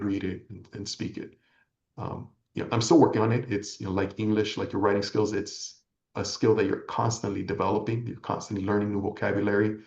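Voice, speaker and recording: Low, gravelly man in an echoey room. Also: a little mellow. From California, United States. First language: Spanish. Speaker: interview guest, attorney. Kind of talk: reflective interview answers. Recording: echoey room, slightly dull audio.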